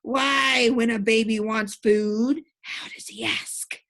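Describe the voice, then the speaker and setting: Lady doing a proud exasperated doctor guy from a kids book, sharp and lecturing. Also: a little high, steady tone, slow pace. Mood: emphatic. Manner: emphatic, lecturing with questions. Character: exasperated, proud male doctor who deals in noise.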